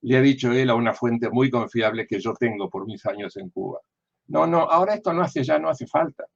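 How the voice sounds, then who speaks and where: An older man with an Argentine accent giving political commentary in a deliberate, didactic way, often posing questions and answering them himself. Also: mid-range voice, creaky, lo-fi sound. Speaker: former diplomat and political analyst.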